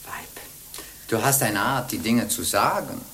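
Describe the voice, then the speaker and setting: An older actress playing a sly, jovial old bawd in a radio play, teasing and wheedling in a theatrical way. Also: female voice, extremely low pitch, expressive, measured pace, fairly bright tone, slight background noise, exaggerated voice. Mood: mock offended. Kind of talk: German radio play acting.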